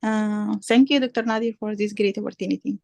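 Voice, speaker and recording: A woman's voice: mid-range voice, fairly even intonation, a little fast, creaky, darkish tone, no room sound. A woman from Sudan introducing herself briefly on a video call. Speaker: meeting participant.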